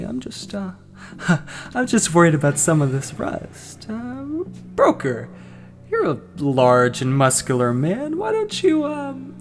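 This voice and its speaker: Woman acting a twitchy sly customer, extremely lively pitch, unhurried halting speech, nervous giggles, audio drama, faint music. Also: vocal fry, full character voice. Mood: giggly, scheming. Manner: halting, nervous chuckles, repeated words. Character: jittery, giggly, sly property buyer feigning hesitance.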